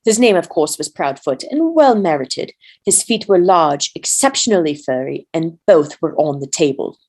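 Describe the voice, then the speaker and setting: A woman reading a fantasy story aloud as a volunteer, in measured narration. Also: low-pitched, sing-song, a little mellow. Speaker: volunteer reader.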